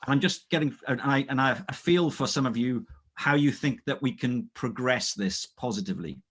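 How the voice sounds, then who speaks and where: A man moderates a panel, speaking in a measured, polite way as he steers the conversation. His mid-pitched voice has a husky edge. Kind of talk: online panel discussion.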